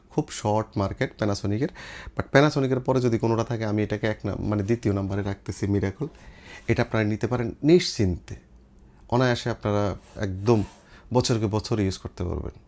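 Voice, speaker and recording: A man's voice: on the low side, fairly quick, darkish tone. A man with a Bangladeshi accent giving an informal sales demonstration, explaining things step by step with repetition and English trade words. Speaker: seller presenting products for an electronics shop.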